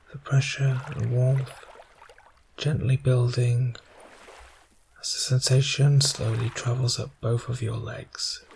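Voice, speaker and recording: A soft-spoken man narrating ASMR guided imagery in a soothing way, pausing between short phrases. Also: medium pitch, vocal fry, slight background noise.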